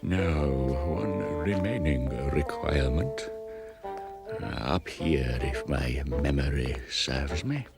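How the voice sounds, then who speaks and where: Deep, gravelly old gentleman rat. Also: animated, exaggerated voice. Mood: musing. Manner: formal, deliberate diction. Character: pompous, unhurried old male rat villain. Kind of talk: animated storybook character acting. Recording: loud music behind the voice.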